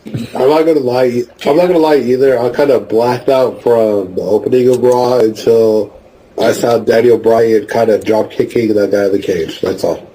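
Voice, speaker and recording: A man's voice: medium pitch, steady tone, moderate pace, dark tone, low-quality recording. A man chatting casually on a podcast, blunt and profane as he admits things. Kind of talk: casual confessional chat.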